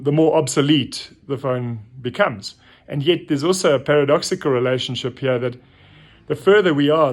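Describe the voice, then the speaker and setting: Middle-aged man, medium pitch, reflective host, informal monologue, thoughtful pauses. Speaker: host of a reflective story series. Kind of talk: informal spoken reflection to listeners.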